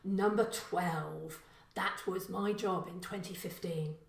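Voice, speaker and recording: A woman's voice: on the low side, fairly even intonation, slow pace, vocal fry, reverberant. A woman voicing a confident, burly middle-aged burglar father who gives blunt orders in a crime story. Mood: assured.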